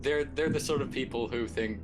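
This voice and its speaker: Male podcast panelist, average pitch, casual banter, music clearly underneath. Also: moderate pace. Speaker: panelist in a podcast quiz game.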